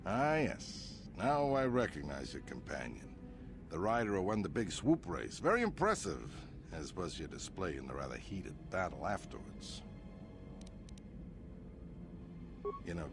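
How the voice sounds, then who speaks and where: Self-important gangland boss, very low, theatrical swooping intonation, suave threats, game drama, music behind. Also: male voice, sing-song, moderate pace. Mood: flattering. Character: smug, imperious male crime boss, outwardly gracious, threatening. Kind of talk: dramatic video game acting.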